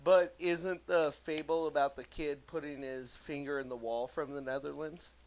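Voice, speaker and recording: A man with a somewhat high-pitched voice chats as a co-host on a comedy podcast. He is blunt and informal, leaning on slang and casual swearing. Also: fairly even intonation, moderate pace, low-quality recording. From United States.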